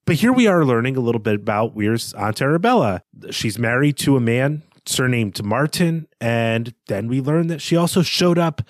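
Male co-host for literary chat, medium pitch, close and dry, conversational. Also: animated, moderate pace, hardly any pauses, no echo. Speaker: podcast co-host.

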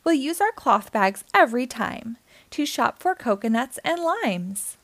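A woman voicing a big, loud, insistent male hippo in a children's storybook. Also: a little high, big pitch swings, unhurried, dry recording, full character voice.

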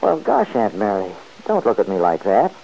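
A man playing a restless, stubborn middle-aged man who answers gruffly and defensively, in 1940s radio acting. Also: fairly low pitch, wildly swooping pitch, moderate pace, warm tone, slight background noise, full character voice, rough recording.

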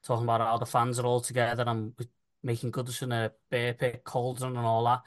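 A man's voice: medium pitch, completely flat delivery, fairly quick. A man co-hosting a football podcast, talking in an earnest, colloquial fan-chat style.

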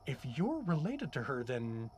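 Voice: mid-range voice, wildly swooping pitch, measured pace, darkish tone. An actor playing a bewildered, gullible dad and reluctant hero in an audio drama, plain-spoken, naive and exasperated. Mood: puzzled. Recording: clear background noise and ambience.

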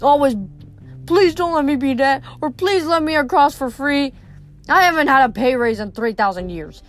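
A woman's voice: high voice, expressive, moderate pace, voice pushed up. A woman reading an audiobook voices a dry, greedy old male ferryman of the dead, with faint music behind. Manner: dry, sardonic, haggling.

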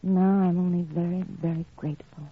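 Friendly young woman, gentle, encouraging, husky voice, old radio drama, thin phone-like sound. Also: fairly low pitch, steady tone, fairly quick. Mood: reassuring. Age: young adult. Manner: gentle, reassuring. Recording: tinny, band-limited old radio recording.

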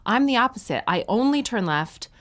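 A woman's voice: a little high, expressive, moderate pace, a bit bright. A woman in her thirties from Canada, a touring comedian chatting as a guest with dry, self-mocking humor. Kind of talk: casual chat.

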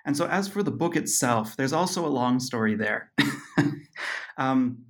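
A man's voice: medium pitch, expressive, unhurried. A man being interviewed about his book, talking casually, rambling with frequent ums, restarts and little laughs at himself. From Costa Rica. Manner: rambling, self-amused, many ums and restarts. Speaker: author guest.

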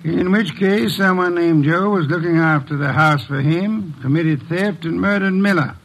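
Imperious radio-mystery detective: highish, gravelly male voice, formal, grumbling, pompous. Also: moderate pace, rough recording. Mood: grave, deliberate. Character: imperious, irritable, erudite male private detective. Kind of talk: old-time radio mystery acting.

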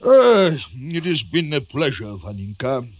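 A man's voice: mid-range voice, sing-song, unhurried, a little hiss or hum. An actor playing a domineering Russian general and stern, suspicious father in a pompous, theatrical old radio drama style. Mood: mellowing, firm.

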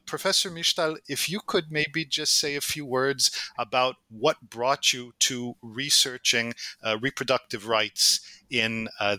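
Bright-voiced man hosting an interview. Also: medium pitch, dry recording. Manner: polished, formal, some fillers. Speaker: interview host. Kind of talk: formal interview introduction.

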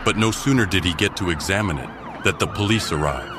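A man's voice: low voice, lively intonation, brisk, noisy background. A man narrating a scripted crime mystery audio drama as a grave storyteller. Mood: grave, suspenseful. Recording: background music under the voice.

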